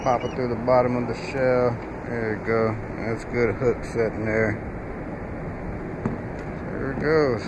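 A man talking casually while fishing on a windy beach, relaxed and joking. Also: medium pitch, measured pace, pauses often, muffled recording. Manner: laid-back, chatty, joking. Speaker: fishing vlogger. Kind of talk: casual outdoor vlog.

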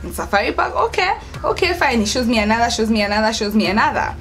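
A woman's voice: a little high, animated, fast talker, a bit bright. A young woman with a Kenyan accent telling a story casually to camera, dramatic and acting out the dialogue as she goes. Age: young adult. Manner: dramatic, acts out dialogue, code-switches into Swahili. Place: indoors by an open doorway at home.

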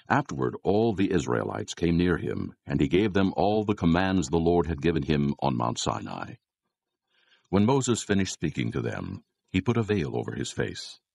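A man narrating scripture as a scripted dramatized audio Bible, with a gravelly, husky voice and measured, solemn storytelling. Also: very deep voice, darkish tone, no echo.

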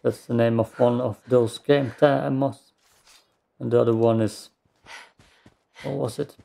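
A man giving casual, low-key gameplay commentary in muttered asides. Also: steady tone, a little slow, vocal fry, dark tone. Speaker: gamer doing a let's play.

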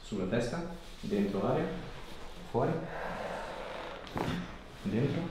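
A man's voice: medium pitch, animated, slow. A man working as a chiropractor, giving brief instructions and asking short questions during a hands-on exam. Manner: brief, directive, repetitive phrasing. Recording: very echoey room, distant mic, faint noise.

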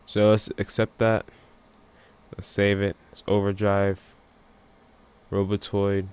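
A man giving a casual software tutorial in an offhand, unscripted way, thinking aloud as he works. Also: on the low side, monotone, slow pace, smooth. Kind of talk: casual screen-recording tutorial.

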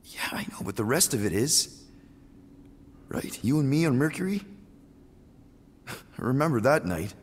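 A man's voice: medium pitch, big pitch swings, a little hiss or hum, natural voice. Actor as hesitant younger guy, drowned in reverb. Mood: wistful, hurt. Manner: hesitant, halting, informal. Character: grieving, awkward, well-meaning man, would-be father. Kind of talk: dramatic video game cutscene acting. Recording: long hall-like reverb.

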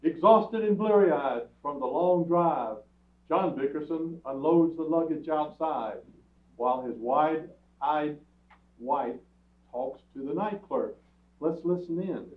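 A man's voice: fairly high pitch, takes it slowly. A man acting as announcer, reading scripted narration for an old-time radio comedy on stage. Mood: presentational. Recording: tinny, echoey theatre stage. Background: music.

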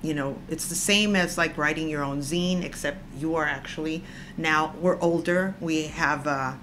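A woman's voice: low-pitched, normal speed, a bit bright, a little hiss or hum. A woman in her fifties co-hosting a casual vlog, chatty and opinionated, often laughing, seated on a sofa indoors. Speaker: vlog co-host. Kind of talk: casual chat. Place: indoors, living room with orange sofa.

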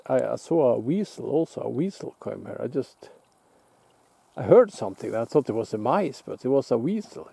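A Norwegian man speaking English with a Norwegian accent, chatting in a relaxed, halting way while fishing alone by a lake, picked up by a camera on the shore. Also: medium pitch, gravelly, slightly rough. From Norway. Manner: relaxed, musing, halting with restarts. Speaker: outdoor vlogger. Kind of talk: casual self-filmed commentary. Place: lakeside outdoors, off camera.

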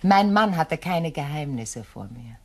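A composed widow in a crime radio play, her voice on the low side. She is measured and courteous, with a shrewd, quietly menacing edge to her words. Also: animated. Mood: cool, veiled threat.

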